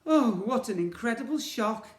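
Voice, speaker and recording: Man as a cocky, defiant storybook boy, animated. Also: very high voice, normal speed, reverberant, voice pushed up. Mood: defiant, sarcastic. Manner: sarcastic, defiant, self-assured. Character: plucky, cocky, defiant young boy hero.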